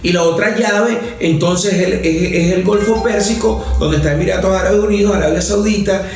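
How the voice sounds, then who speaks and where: A man with a fairly high voice talks in a conversational way, stacking up facts and asking himself questions. He trips into restarts and fillers, then keeps going. His voice rings through a very echoey room. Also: moderate pace, creaky. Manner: fluent, many restarts and fillers, rhetorical questions. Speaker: lawmaker giving a talk. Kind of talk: conversational political talk.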